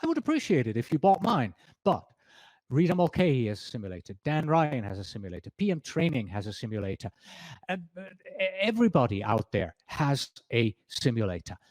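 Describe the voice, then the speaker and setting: Swiss German-accented man, exam prep teacher, animated pitch, bone-dry close mic, chatty presenter. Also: fairly quick, dry recording. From Switzerland. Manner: chatty, self-correcting, reading quotes from slides. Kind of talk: presentation lesson.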